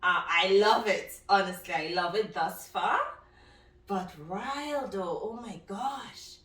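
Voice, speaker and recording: A woman with a bright, forward voice speaks casually and emphatically, like a vlogger sharing reactions with viewers. Her delivery is expressive and conversational. The sound is echoey, with the microphone at some distance. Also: medium pitch, slow pace. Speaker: book review vlogger. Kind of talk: casual reaction and review to camera. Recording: roomy echo, distant microphone.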